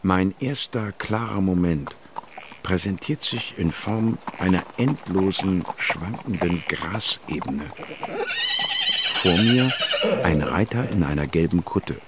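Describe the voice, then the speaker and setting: A man's voice: sits low, expressive, takes it slowly, very noisy. An actor playing a scientist who soberly narrates his own account in a radio drama. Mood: tense, sober. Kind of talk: scripted first-person radio drama narration.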